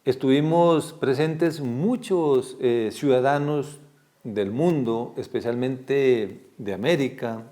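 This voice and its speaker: A man's voice: medium pitch, takes it slowly, dark tone. A man hosting an interview program, speaking in a formal, wordy way with long introductions. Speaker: program host.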